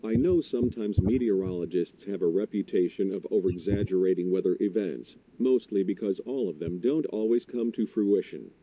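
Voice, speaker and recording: A man's voice: sits low, normal speed, few pauses, mellow, a little hiss or hum, close to an everyday voice. A man playing a grave, emphatic TV meteorologist giving urgent live storm warnings. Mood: alarmed pleading. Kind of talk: live severe weather broadcast commentary. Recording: dull, muffled stream playback.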